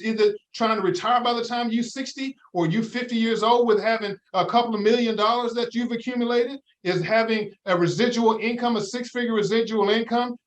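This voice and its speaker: A middle-aged man, a financial advisor guest in an interview, talking in an emphatic, preacher-like way with many rhetorical questions. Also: very high-pitched. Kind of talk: interview, motivational talk.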